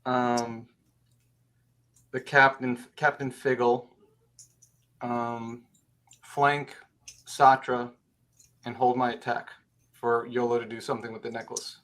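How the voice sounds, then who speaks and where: A man's voice: mid-range voice, flat delivery, slow pace, long pauses, fairly bright tone. A man talking casually as a player in a tabletop game, describing his character's moves.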